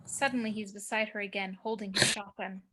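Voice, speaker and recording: A woman's voice: medium pitch, steady tone, brisk, sharp tone, rough recording. A woman reading screenplay action as narrator, with dramatic, clipped phrasing, recorded over a home video call.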